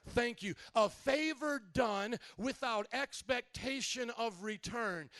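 Brief: male pastor, high voice, dry close recording, lively call-and-response sermon. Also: clean tone, no echo. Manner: energetic call-and-response preaching; slows and stretches words for emphasis.

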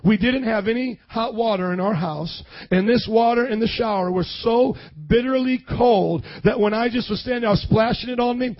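A hoarse young man preaching a sermon, energetically calling out to the congregation for responses. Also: extremely high pitch, moderate pace, hardly any pauses. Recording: dull lo-fi sound.

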